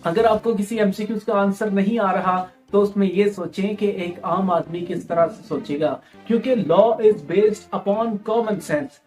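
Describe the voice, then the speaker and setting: A woman's voice: medium pitch, unhurried, hardly any pauses, darkish tone, faint music behind. A woman with a Pakistani accent giving instructional exam-preparation talk as a tutor, explaining and repeating key points with English terms mixed in.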